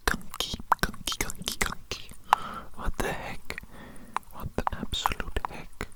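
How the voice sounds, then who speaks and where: An ASMR performer voicing an eccentric evil doctor who dotes on a tiny creation, muttering and repeating phrases theatrically at a close microphone. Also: natural voice. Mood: baffled, dismayed. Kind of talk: whispered ASMR medical roleplay.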